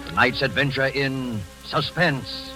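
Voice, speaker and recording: Formal old-time radio announcer. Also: male voice, medium pitch, slow pace, low-quality recording. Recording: old broadcast with music under it.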